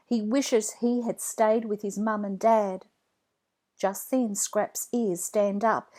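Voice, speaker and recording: A woman narrating a children's read-along story from a script, in an expressive storytelling manner. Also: medium pitch.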